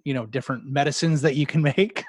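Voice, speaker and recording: A man with a Canadian accent, co-hosting a podcast and throwing in quick jokes. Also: mid-range voice, darkish tone, no room sound. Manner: jokey, quick quips.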